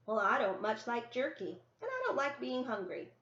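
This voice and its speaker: A woman's voice: fairly high pitch, big pitch swings, moderate pace, a bit bright, voice pushed up. Storybook older sister, played by a woman: young, bossy, practical, matter-of-fact; roomy recording. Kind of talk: children's novel read-aloud. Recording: roomy, echoey, dull lo-fi sound.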